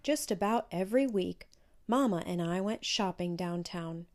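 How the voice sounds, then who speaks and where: A woman's voice: on the low side, animated, normal speed, darkish tone, faint music behind. A woman narrating a children's storybook in a scripted read-aloud.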